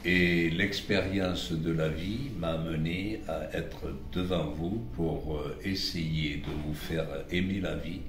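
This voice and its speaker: A man of about eighty, born in Italy with Italian as his first language, recalling his past in a slow, halting way with frequent restarts. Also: sits low, fairly even intonation. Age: older, about 80. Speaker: interviewee. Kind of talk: reminiscing interview. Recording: roomy echo with background music.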